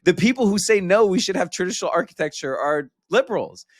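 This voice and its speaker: A man from Iran talking casually as a guest, animated and quick to mimic other people. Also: sits high, dry recording, clean studio sound. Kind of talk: casual conversation.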